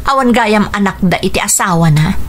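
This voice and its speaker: A woman's voice: medium pitch, lively intonation, fairly quick, a little hiss or hum, lightly acted. A woman with an Ilocano accent playing a bitter, guarded single mother who fiercely protects her child, in radio drama style. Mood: guarded, resolute.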